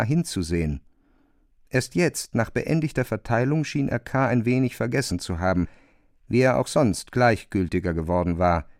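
A man's voice: mid-range voice, creaky, darkish tone, no echo. Man narrating literary prose, very dry sound.

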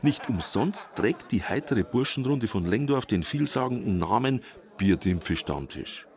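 A man narrating a documentary feature in a wry, measured storytelling manner. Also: mid-range voice, lively intonation, a little mellow. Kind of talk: scripted narration.

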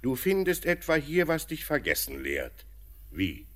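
An actor playing a middle-aged father in a classic radio play, speaking in a measured, gently probing way. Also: on the high side, huge pitch swings, heavily acted. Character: middle-aged father, calm, knowing, teasing. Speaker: father of the heroine. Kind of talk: 1900s-style radio play acting.

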